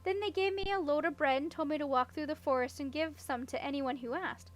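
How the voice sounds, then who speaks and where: A woman's voice: high-pitched, steady tone, brisk, close to an everyday voice. A woman voicing an awkward, unlucky young princess in a storybook, earnest and rambling as she explains herself. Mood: earnest storytelling. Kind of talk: audiobook character voice.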